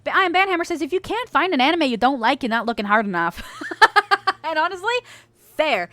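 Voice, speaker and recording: A woman's voice: high voice, very animated, a little fast, bright, forceful tone, dry recording. A young woman streamer chatting casually with her chat, bubbly and giggly, reading out viewer messages.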